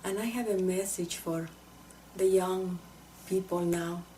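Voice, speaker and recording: Slow, mellow woman. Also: lowish voice, fairly even intonation, a little hiss or hum, low-quality recording. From El Salvador. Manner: halting, reflective, earnest. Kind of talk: personal testimony to camera.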